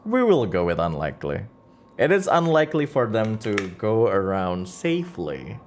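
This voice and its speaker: A man running a tabletop campaign as game master and streamer, improvising the story in a halting way and repeating words and phrases. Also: fairly low pitch, wildly swooping pitch, a little mellow, a little hiss or hum.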